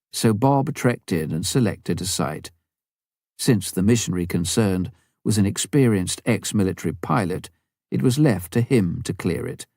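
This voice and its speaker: A man reading a memoir as an audiobook, in measured, clearly phrased scripted narration. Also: fairly low pitch, mellow, no room sound, clean studio sound. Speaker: audiobook reader.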